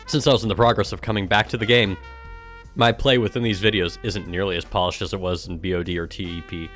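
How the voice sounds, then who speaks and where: Low-voiced sarcastic man on a stream. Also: steady tone, fast, fairly bright tone, faint music behind. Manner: sarcastic, exasperated, casual with swearing. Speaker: streamer and gaming guild member. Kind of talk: live stream reading aloud with sarcastic commentary.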